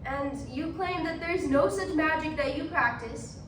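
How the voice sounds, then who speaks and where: An actress plays a defiant woman on trial for witchcraft, her voice pitched very high. She presses her accusers with deliberate, theatrical questions. The sound is distant and noisy, ringing in an echoey room. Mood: firm, defiant. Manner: emphatic, challenging, deliberate rhetorical questioning. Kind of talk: competitive stage readers theatre.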